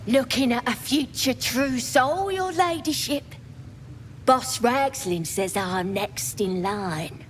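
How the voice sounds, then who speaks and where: An actress playing an eager, boastful female goblin underling in a video game, shrill and excitable. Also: takes it slowly, slight background noise. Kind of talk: video game character acting.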